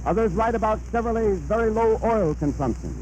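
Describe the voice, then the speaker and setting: A man's voice: high-pitched, expressive, a little fast, a little mellow. A man announcing a scripted sponsor message in the style of 1930s radio. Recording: noisy vintage 1930s radio recording.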